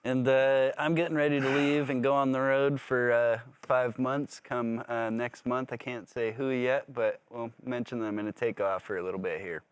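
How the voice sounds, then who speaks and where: A man's voice: medium pitch, steady tone, normal speed, hardly any pauses, clean tone, dry recording. A young man co-hosting a podcast from a studio set, chatting in an easygoing way with short remarks. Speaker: co-host. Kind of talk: casual chat.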